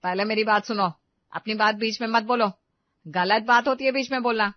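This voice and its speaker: A woman's voice: medium pitch, lively intonation, moderate pace, dry recording, close to an everyday voice. A woman voicing a stern, bossy middle-aged mother-in-law in an audio story, lecturing and scolding. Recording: slightly dull, dry recording.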